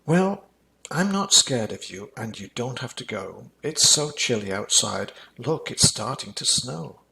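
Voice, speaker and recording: A man's voice: medium pitch, lively intonation, a little slow, vocal fry, bright, forceful tone, a little hiss or hum, heavily acted, rough recording. A man voicing an earnest, kind-hearted child, eager and emphatic, in a rhyming children's storybook. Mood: curious, kind.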